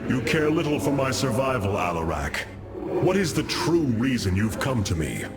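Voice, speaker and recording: A noble alien commander in a game cinematic. His mid-pitched voice moves widely in tone, formal and full of solemn resolve, over loud music. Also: big pitch swings, normal speed. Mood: stern. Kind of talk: video game cinematic acting.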